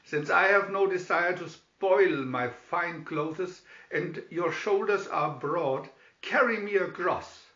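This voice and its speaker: A man's voice: sits high, takes it slowly, pushed and bright, exaggerated voice. A man voicing a cocky outlaw hero in a storybook, brash, spirited and commanding. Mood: confident, commanding. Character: bold, cocky young male outlaw hero. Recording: slightly dull recording in echoey room.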